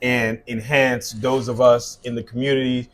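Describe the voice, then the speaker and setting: A man's voice: medium pitch, flat delivery, normal speed, a bit bright. Man, thirties, from South Sudan and Liberia, interview guest, earnest storytelling, lots of fillers. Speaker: guest, skincare business owner. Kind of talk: casual interview conversation.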